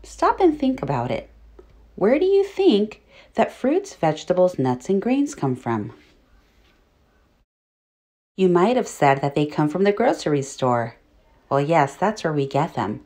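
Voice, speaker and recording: A woman teacher reading aloud to young children, phrasing things clearly and putting questions to her listeners. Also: fairly low pitch, sing-song, measured pace, long pauses, mellow, dry recording. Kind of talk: children's read-aloud narration.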